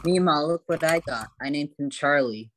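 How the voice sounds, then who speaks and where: An adult playing an eager, obedient little boy in an amateur radio play, at a middling pitch. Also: moderate pace, slight background noise, lightly acted. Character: Joey, eager, obedient young grandson.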